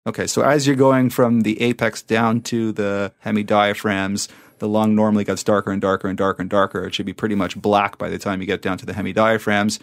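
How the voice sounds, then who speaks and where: A man with a fairly low voice and plenty of fry hosts a medical interview podcast. He speaks conversationally, restating key points and posing questions in an explanatory way. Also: level tone, a little fast. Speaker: podcast host, emergency physician.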